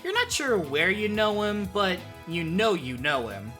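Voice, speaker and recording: Male video essayist, lively pitch and bright tone, joking and sweary, music underneath. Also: a little slow. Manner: joking, self-interrupting, sweary. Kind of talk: casual scripted video essay commentary.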